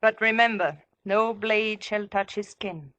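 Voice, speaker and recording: Woman acting bitter, imperious biblical temptress, forceful. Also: medium pitch, unhurried, lo-fi sound, lightly acted. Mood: bitter, scornful. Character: spurned, vengeful seductive temptress of biblical times. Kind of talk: 1950s radio drama acting.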